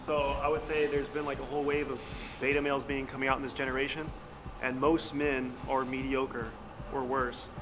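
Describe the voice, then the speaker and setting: A man's voice: medium pitch, flat delivery, a little fast, noisy background. A man on a debate panel giving his opinions bluntly and confidently. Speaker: panel participant.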